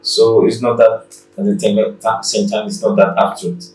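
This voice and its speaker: A man from El Salvador with a Salvadoran Spanish accent, speaking English as a second language, haltingly and with many fillers, interviewed as an artist in an art gallery. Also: on the low side, level tone, darkish tone, reverberant, recorded from a distance, low-quality recording. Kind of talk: casual interview.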